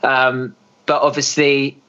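A man in his thirties hosts a relaxed podcast chat, talking in an easy, rambling way and laughing often. His voice sits at a middle pitch for a man. The recording sounds slightly dull and lo-fi. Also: slow pace, clear, resonant tone. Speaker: podcast host, rock singer. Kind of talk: casual interview. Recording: muffled lo-fi audio.

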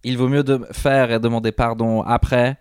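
A man's voice: mid-range voice, little pitch movement, normal speed, a little mellow, clean tone, no room sound, studio quality. A man interviewing a guest, asking curious, polite questions with frequent hesitations. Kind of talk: casual interview chat.

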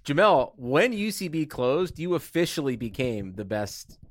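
A man's voice: fairly high pitch, very animated, a little slow. An American man co-hosting a podcast, chatting in an informal, teasing, sweary style. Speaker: podcast co-host. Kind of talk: casual comedic chat.

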